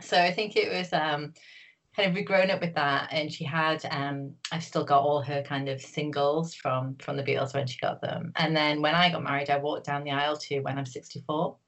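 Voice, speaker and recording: A woman's voice: low voice, steady tone, normal speed, a bit bright. A woman from Newcastle, an author guest talking casually in an interview, rambling with many fillers and restarts. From Newcastle, England.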